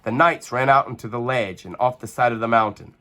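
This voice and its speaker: A man reading a children's picture book aloud with expressive, animated storytelling. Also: mid-range voice, a little fast.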